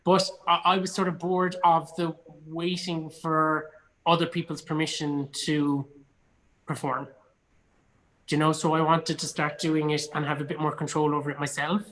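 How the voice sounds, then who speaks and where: A man's voice: a little high, flat delivery, normal speed, a bit bright, rough recording. An Irish man from Cork chatting casually and enthusiastically as an interview guest. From Cork, Ireland. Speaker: actor and theatre company artistic director being interviewed. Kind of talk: casual chat.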